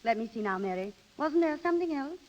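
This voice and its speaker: An actress playing an eager, well-to-do wife who is brisk and coaxing, in 1930s radio drama style. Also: female voice, high voice, animated, faint music behind. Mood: brisk, organised. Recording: dull, lo-fi 1930s radio recording.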